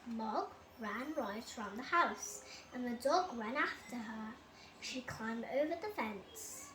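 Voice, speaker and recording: A child reading a children's bedtime story aloud with expressive, dramatic delivery. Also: medium pitch, slow. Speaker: storybook reader. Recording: roomy echo, loud enough background noise.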